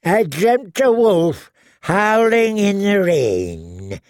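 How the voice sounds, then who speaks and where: A man voicing an ancient, tiny dwarf woman seer who speaks slowly and eerily with a croaking, drawn-out voice. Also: sits high, sing-song, a little mellow, no room sound, studio quality, voice pushed up, full character voice. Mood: ominous. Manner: slow, wheedling, prophetic. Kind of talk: audiobook character voice.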